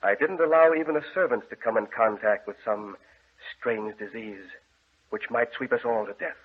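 A stern formal gentleman in an old radio horror play, lowish husky voice, controlling. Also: normal speed. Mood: solemn, sombre. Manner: formal, solemn, commanding. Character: grave, controlling gentleman guarding his deranged brother. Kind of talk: 1940s radio horror acting. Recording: tinny, band-limited old radio recording.

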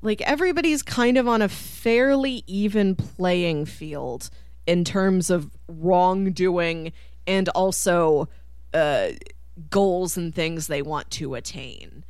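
A woman's voice: on the low side, slow, a little mellow, smooth, no room sound, studio quality. A woman co-hosting a podcast, talking casually about a film and animatedly voicing what people might be thinking. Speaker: podcast co-host. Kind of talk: casual film discussion chat.

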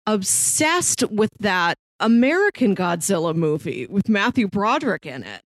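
A woman's voice: mid-range voice, big pitch swings, unhurried, no echo. A woman in her thirties co-hosting a podcast, chatting casually in a playful, wry way. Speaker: podcast co-host.

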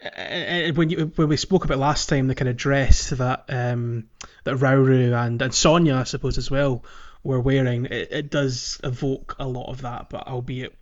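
A man hosts a gaming podcast in a casual, conversational way. His voice sits at a middling pitch, and the audio is slightly dull and lo-fi. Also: fairly even intonation, moderate pace, few pauses. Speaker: podcast host. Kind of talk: casual chat about a video game.

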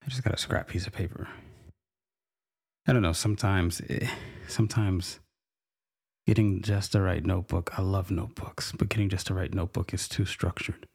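A man sharing reflective devotional thoughts in a halting, musing way, with frequent restarts and fillers. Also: low voice, fairly even intonation, darkish tone, slightly rough.

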